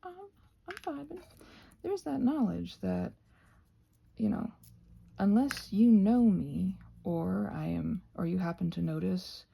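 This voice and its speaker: An American woman rambling casually while she does crafts, halting and trailing off into soft-spoken asides. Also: medium pitch, lively intonation, creaky, slightly rough. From United States. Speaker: craft and ASMR channel host.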